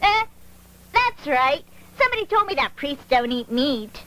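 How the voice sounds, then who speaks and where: Very high-pitched scrappy street boy. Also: sing-song, unhurried, sharp tone, exaggerated voice, rough recording. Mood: cocky, relieved. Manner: brash, defiant, streetwise. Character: scrappy, cheeky starving street boy, a chicken thief. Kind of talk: dubbed animated film acting.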